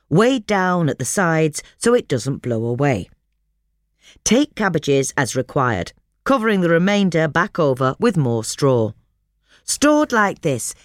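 Female narrator for instructional read-aloud: deep register, very varied melody, measured delivery, dry close sound. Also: no room sound. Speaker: narrator reading a gardening magazine article.

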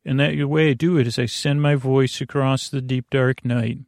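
Male sleep-podcast host: gravelly and soothing, dry close sound, faint music, rambling bedtime monologue full of stumbles. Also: medium pitch, steady tone, moderate pace, darkish tone, no echo. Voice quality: creaky. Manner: meandering, stammering, goes off on tangents; lulling, soothing.

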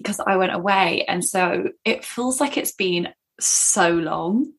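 A young woman co-hosting a podcast in casual chat, with a husky, tired voice, laughing often. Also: lowish voice, slow. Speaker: podcast co-host.

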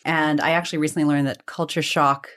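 A woman's voice: low-pitched, little pitch movement, quick pace, fairly bright tone. A woman being interviewed, telling personal stories conversationally in a reflective, discursive way, often qualifying and correcting herself. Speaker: interview guest.

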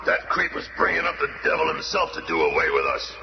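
Hot-tempered hero brute, extremely gravelly and blunt, roomy muffled vintage radio sound. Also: male voice, a little high, wildly swooping pitch, fast talker, heavily acted. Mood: grumbling. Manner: blunt, slangy. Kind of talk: 1970s radio drama acting. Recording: noisy, dull, roomy radio recording.

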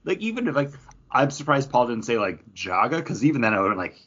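A man's voice: medium pitch, very animated, quick pace, a bit bright, muffled recording. A man co-hosting a podcast in casual, enthusiastic chat, using plenty of slang and swearing. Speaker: podcast co-host. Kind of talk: casual chat about comics and toys.

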